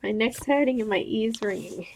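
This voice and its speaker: A woman with an Australian accent and a nasal voice, chatting casually while coloring on a livestream, thinking aloud with pauses. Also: fairly high pitch, a little mellow, slight background noise, rough recording.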